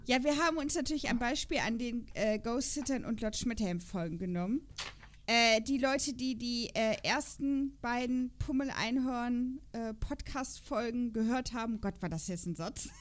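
A woman's voice: on the high side, normal speed, a little hiss or hum. A woman co-hosting a podcast, chatting casually about an audio drama in a rambling, self-correcting way. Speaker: podcast co-host.

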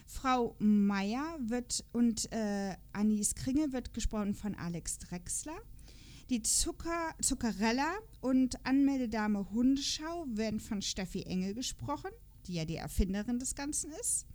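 A woman's voice: fairly high pitch, lively intonation, unhurried, darkish tone. A woman hosting a podcast in casual, chatty conversation, jokey and partly going through notes. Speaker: podcast host.

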